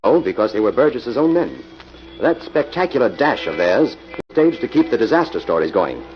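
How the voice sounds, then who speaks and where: A man playing a confident radio detective hero from the 1940s, with a lively, swinging pitch and a wry way of explaining things. The old broadcast recording is muffled, with music in it. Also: normal speed, close to an everyday voice. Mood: calm, explaining. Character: Jim, confident crime-solving hero, wry and calmly explaining.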